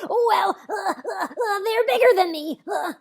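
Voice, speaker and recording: A woman's voice: very high voice, lively intonation, measured pace, heavily acted. A woman doing a comedic impression of a nervous, stammering, nerdy cartoon boy at a table read. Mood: nervous, whiny. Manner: stammering, hesitant. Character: whiny timid cartoon schoolboy, stammering nervous nerd.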